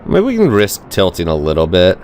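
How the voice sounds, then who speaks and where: A man's voice: deep voice, huge pitch swings, a little fast, a little mellow, slight background noise. A man with a cold and a congested, nasal voice, thinking aloud over a game he is playing. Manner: thinking aloud, rambling with fillers. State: stuffed up with a cold. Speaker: gaming channel host. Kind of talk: let's play commentary.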